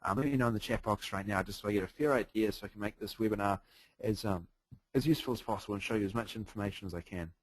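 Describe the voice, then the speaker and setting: A man with a New Zealand accent runs a live online webinar from a hotel room, talking in a chatty, upbeat way with lots of ums and uhs. His voice sits fairly low and sounds close and dry on a computer mic. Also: racing, no room sound, rough recording. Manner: upbeat, chatty, heavy on fillers. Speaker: webinar presenter. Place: hotel room late at night.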